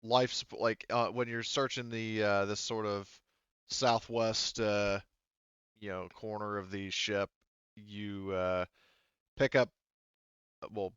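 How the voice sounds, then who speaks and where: A man acting as game master, casually narrating a tabletop game with halting, self-interrupting phrasing. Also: on the low side, level tone, fairly bright tone, clean tone, no echo, muffled recording.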